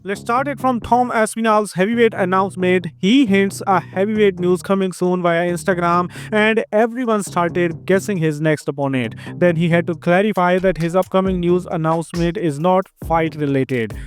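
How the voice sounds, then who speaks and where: A man's voice: high voice, normal speed, hardly any pauses, faint music behind. A young man with an Indian accent hosting an upbeat, scripted fight news show.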